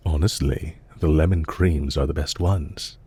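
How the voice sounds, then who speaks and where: Guy acting as a genteel villain boss, very low husky voice, polite n measured, audio roleplay. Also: steady tone, exaggerated voice. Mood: solicitous, gentle. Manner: polite, measured, formal, softly commanding. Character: courteous villain leader, calm, genteel, solicitous captor. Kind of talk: scripted roleplay audio drama.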